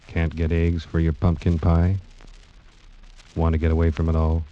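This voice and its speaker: A man's voice: extremely low pitch, monotone, normal speed. A man announcing a radio drama in a dramatic, portentous 1940s scripted style. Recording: dull vintage radio recording with music. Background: dramatic music.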